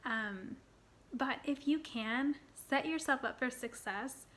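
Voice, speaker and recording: A woman of thirty talking casually to her viewers, excited and rambling, with fillers and restarts. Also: sits high, takes it slowly. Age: 30s. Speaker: personal finance vlogger. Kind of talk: casual talk to camera.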